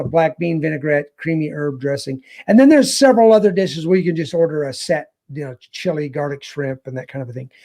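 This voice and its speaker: A man with a fairly high but mellow voice walks his listeners through a menu step by step. He is eager and often restarts his sentences. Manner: enthusiastic, explanatory, restarts often. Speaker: travel advisor. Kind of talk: casual panel chat, explaining.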